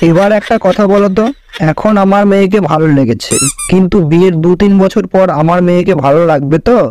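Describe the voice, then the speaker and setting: A man's voice: high-pitched, quick pace, a little mellow, slight background noise, natural voice. A man voicing a blunt, sceptical middle-aged father of a bride in a comic cartoon. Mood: probing. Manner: blunt, questioning.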